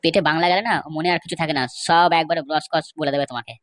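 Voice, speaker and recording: A woman's voice: deep voice, steady tone, very fast, dry recording, clean studio sound, natural voice. A woman playing an aggrieved, scheming male villager in a comedy cartoon, complaining in an emphatic, gossipy way. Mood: scheming, resentful. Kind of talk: amateur comedy cartoon dialogue.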